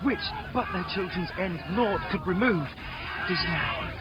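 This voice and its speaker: Solemn tragedy chorus, declaimed verse, dull old radio sound with music. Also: male voice, high-pitched, animated. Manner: measured, declamatory. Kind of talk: 1950s radio Shakespeare acting, verse recitation.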